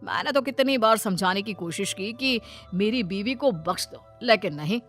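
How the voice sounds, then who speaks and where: A manipulative young husband from a cartoon family drama, played by a woman. He wheedles and protests in a bright, lively-pitched voice, always playing innocent. Music runs behind him. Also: normal speed. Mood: coaxing.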